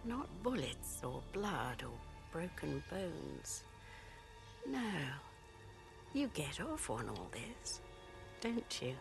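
Video game villain: older crime boss, icy and haughty, unhurried delivery over a prominent score. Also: female voice, low voice, wildly swooping pitch, gravelly, music in the background, exaggerated voice. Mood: mocking, menacing. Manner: slow, condescending, deliberate. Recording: rain and score behind.

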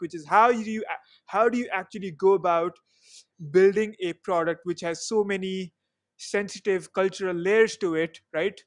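A young man hosting a podcast over a video call, chatty and full of "you know" fillers, on a headset mic. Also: sits high. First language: not English. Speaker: podcast host. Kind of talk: casual interview chat. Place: small room at home on a video call. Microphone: headset microphone.

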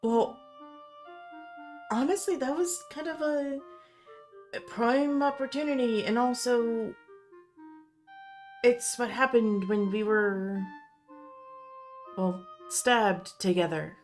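A woman voicing a proud, defensive goddess in a fantasy audio drama, with measured delivery. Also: fairly high pitch, voice pushed up. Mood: hesitant, defensive. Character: proud goddess sharing a mortal's body, defensive. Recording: music bed under voice.

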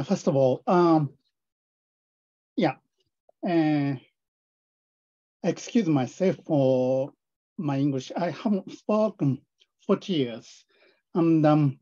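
Man, Japanese accent, mellow dark tone, halting hesitant English, academic presentation read aloud, dull video-call audio. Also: on the high side. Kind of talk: academic talk read from a script.

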